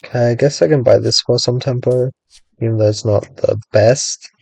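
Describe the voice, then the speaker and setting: A young man giving casual, rambling commentary on his own gameplay, thinking aloud with lots of "like"s and a voice gone a little raspy from hours of talking. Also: mid-range voice, fairly even intonation, moderate pace, a little mellow, no room sound. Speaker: gaming streamer.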